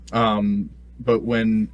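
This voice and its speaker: A man's voice: fairly low pitch, creaky, dull audio. A man playing a tabletop role-playing game, speaking casually and hesitantly, in and out of character. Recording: noisy room ambience.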